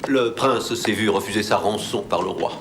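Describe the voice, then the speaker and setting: An actor playing a dry, educated expedition member in a film drama, with an echo on the voice. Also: male voice, fairly low pitch, normal speed, noisy background, lightly acted. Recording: echoey, loud music behind.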